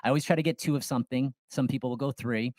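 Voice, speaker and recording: A man's voice: medium pitch, fairly even intonation, racing, darkish tone, no echo. A man hosting a podcast, chatting casually and enthusiastically in a conversational interview style. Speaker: podcast host.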